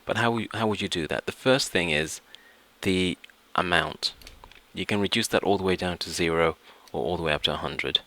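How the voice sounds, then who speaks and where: A man presenting a step-by-step software tutorial, explaining things and often repeating and rephrasing his phrases. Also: sits low, normal speed.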